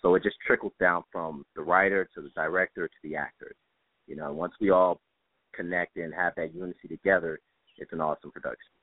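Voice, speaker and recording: A man's voice: low voice, steady tone, brisk, no room sound. A man speaking as a stage director in an interview, explaining things in an earnest, reflective way. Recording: dry, phone-like band-limited line.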